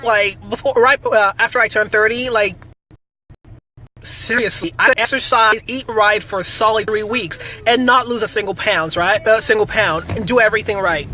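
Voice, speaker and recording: A woman in her thirties chatting casually in a family vlog, talkative and quick to laugh. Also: mid-range voice, steady tone, pushed and bright. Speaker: family vlogger. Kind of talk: casual chat. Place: inside a moving car. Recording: car road noise behind.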